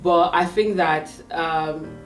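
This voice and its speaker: A Nigerian woman in her late thirties, a tech career coach, telling her story in a lively interview with fillers and restarts. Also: fairly low pitch, slow, recorded from a distance, soft background music. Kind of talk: conversational interview. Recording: echoey room, away from the mic.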